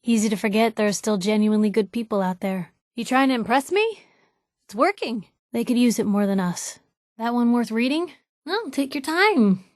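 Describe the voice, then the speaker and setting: A woman playing a sassy, warm-hearted young reporter and loyal companion in a video game, talking in wry, breezy, clipped slang. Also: fairly high pitch, lively intonation, moderate pace, dry recording, clean studio sound, close to an everyday voice. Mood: breezy, dry. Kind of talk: game voice lines, short reactive barks.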